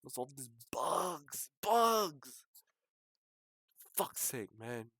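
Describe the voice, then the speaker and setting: A man's voice: a little high, sing-song, drawn-out words, fairly bright tone, husky, dry recording, clean studio sound. A man commentating casually on a game livestream, swearing often and grumbling in exasperation. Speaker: streamer.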